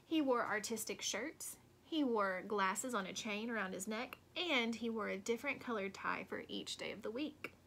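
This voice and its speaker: A woman reading a children's storybook aloud expressively, with deliberate dramatic emphasis. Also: medium pitch, expressive, gravelly, a bit bright, slight background noise. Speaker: storybook reader.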